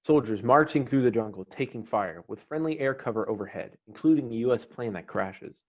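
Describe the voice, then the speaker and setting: Casting: male stage-direction reader, medium pitch, terse scripted narration, slightly muffled online-call sound. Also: lively intonation, fairly quick.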